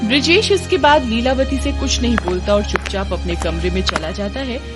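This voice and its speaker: Female storyteller for children's tales, fairly high voice, clear music bed. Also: lively intonation, moderate pace. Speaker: narrator. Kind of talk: scripted children's story narration.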